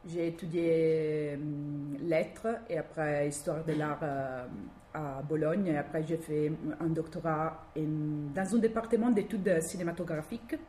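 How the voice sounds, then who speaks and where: A woman from Italy speaking with a strong Italian accent, giving an academic talk and often pausing to search for words. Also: low voice, steady tone, dark tone. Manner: halting, searching for words with many fillers. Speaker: guest lecturer. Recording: echoey room, faint background noise.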